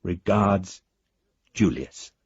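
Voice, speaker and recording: A man's voice: low voice, very slow, breathy edge, no echo, muffled recording, full character voice. An actor playing an eccentric, grandiose amateur philosopher, reading his letter in pompous, florid phrases for a radio drama. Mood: exalted, self-important.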